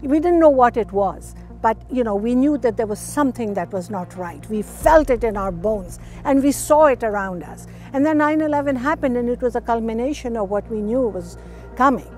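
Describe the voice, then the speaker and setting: A woman's voice: a little high, animated, normal speed, darkish tone, soft background music. A woman talking conversationally as an activist in a documentary interview.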